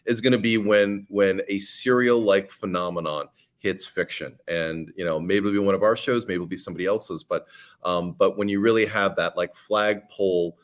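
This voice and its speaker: Low-voiced man talking shop. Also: fairly even intonation, moderate pace. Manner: thoughtful, many restarts and fillers. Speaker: podcast creator and guest. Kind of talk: casual interview talk.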